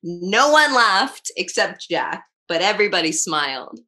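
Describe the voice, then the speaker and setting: A woman's voice: mid-range voice, very animated, unhurried, sharp tone. A woman hosting a storytime, reading a children's picture book aloud expressively. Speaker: storytime reader and host.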